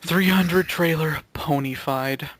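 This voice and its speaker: A man's voice: fairly high pitch, takes it slowly, a bit bright, no echo. A young man in a casual group chat, bantering in a geeky, exclamatory way as he reacts to videos. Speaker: member of an online gaming video group.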